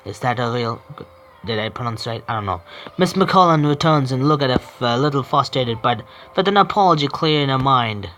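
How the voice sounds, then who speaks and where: A man's voice: medium pitch, animated, brisk, bright, forceful tone, a little hiss or hum. A man streaming himself reading a visual novel aloud, often stumbling and correcting himself.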